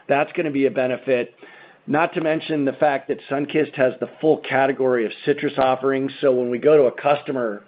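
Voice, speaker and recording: A man with an American accent, speaking as a company executive, explaining business details methodically on an earnings call. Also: medium pitch. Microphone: conference call phone line. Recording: muffled narrow phone-line sound.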